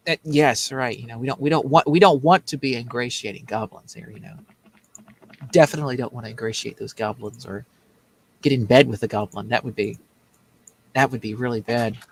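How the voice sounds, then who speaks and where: A man's voice: medium pitch, fairly quick, vocal fry, a little hiss or hum, low-quality recording. A man hosting a political commentary show in a casual, joking way, rambling and repeating himself as he mocks. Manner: rambling, repetitive, mocking.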